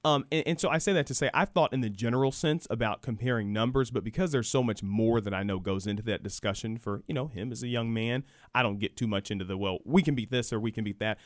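A man answers questions on a live radio show in a dry studio. He talks quickly and conversationally, explaining as he goes. He often checks in with "right?" and acts out imagined quotes to illustrate a point. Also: medium pitch, dry recording. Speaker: radio show guest, university program director.

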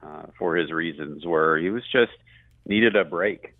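Male sports radio guest, deep voice, conversational and chatty, thin band-limited call sound with little room. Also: measured pace, dry recording. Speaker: radio play-by-play announcer, guest. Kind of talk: sports interview, conversational analysis. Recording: muffled, band-limited phone-like line.

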